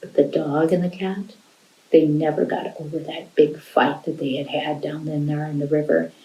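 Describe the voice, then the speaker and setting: An American woman telling a folktale to children in an animated storyteller's style. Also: sits low, moderate pace, muffled recording. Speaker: library storyteller. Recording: roomy, echoing indoor sound.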